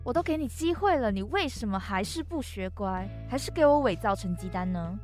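A woman's voice: mid-range voice, lively intonation, brisk, over music, natural voice. A woman playing a strict, controlling mother who scolds and commands her schoolboy son in an amateur audio drama. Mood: stern, threatening.

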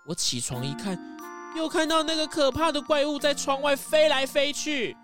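A timid small child talks in an earnest, frightened way. It sounds like a children's mystery play set in a castle, with music underneath. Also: female voice, sits high, expressive, normal speed, fairly bright tone. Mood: scared. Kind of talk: children's audio mystery drama. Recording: music behind the voice.

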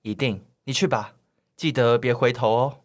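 A man's voice: medium pitch, steady tone, moderate pace, very noisy, close to an everyday voice. A man with a Taiwanese accent acting an earnest, protective boy who gives solemn advice in an amateur radio drama parody. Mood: tender, solemn.

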